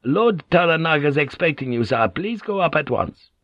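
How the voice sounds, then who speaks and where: A man voicing a deferential, nervous male secretary in an audiobook, confiding in a hushed, hesitant, respectful way. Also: on the high side, sing-song, normal speed, no echo, dull audio, voice pushed up. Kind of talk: audiobook character dialogue.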